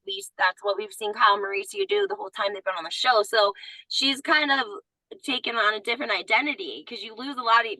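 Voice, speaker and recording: A woman's voice: a little high, pushed and bright, dry recording, poor audio. A woman chatting casually, giving blunt opinions and saying "like" a lot, with a Southern accent that comes out at times. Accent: Southern US, surfaces at times. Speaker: guest commentator. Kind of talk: casual chat.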